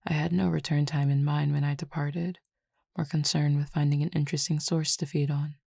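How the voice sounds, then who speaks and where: A woman voicing a calm cupid roommate who feeds on desire, in an audio roleplay spoken to the listener, formal, measured and quietly teasing. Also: low-pitched, vocal fry, slightly rough, no room sound, studio quality, full character voice. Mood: languid teasing.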